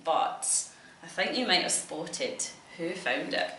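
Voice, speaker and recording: A Scottish woman with a fairly low voice reads a children's story aloud, asking questions and giving encouragement. The recording is roomy, a little distant, with some background noise. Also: animated, slow, sharp tone. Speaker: teacher reading a story to a child. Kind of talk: interactive storybook reading, child-directed. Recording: echoey room, distant mic, noisy background.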